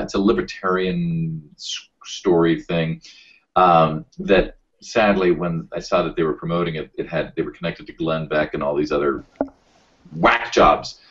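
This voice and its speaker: A man with a very low voice, a voice actor chatting casually as a podcast guest, rambling and self-deprecating as he tells stories. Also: muffled recording.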